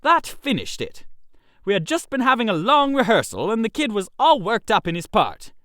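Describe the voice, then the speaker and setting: A man narrating a comic first-person story in an expressive, theatrical way. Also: high voice, normal speed, dry recording, clean studio sound.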